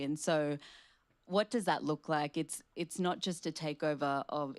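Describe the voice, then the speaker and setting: Low-voiced Australian panelist, halting. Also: female voice, a little mellow, no echo. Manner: casual, halting with many ums. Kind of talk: panel talk.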